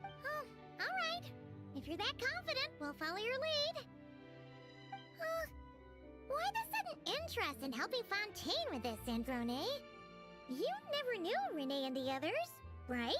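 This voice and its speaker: A tiny floating companion creature, bubbly and teasing. Her voice is extremely high and squeaky, leaping all over the place. Loud game music plays behind her. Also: huge pitch swings, measured pace, exaggerated voice. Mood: eager. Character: tiny floating female companion, chirpy and eager. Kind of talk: game cutscene voice acting.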